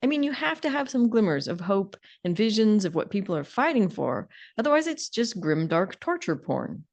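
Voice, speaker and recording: A woman's voice: mid-range voice, very animated, normal speed. Female co-host, mid-range voice. Casual discussion, playful and colourful turns of phrase. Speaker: podcast co-host.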